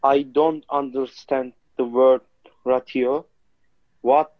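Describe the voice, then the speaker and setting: Teen boy, Turkish accent, student, slow, hesitant, dry muffled call audio. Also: medium pitch, fairly even intonation, no echo. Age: teens. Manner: hesitant, asks teacher questions. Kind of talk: reading answers aloud in class. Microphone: video call.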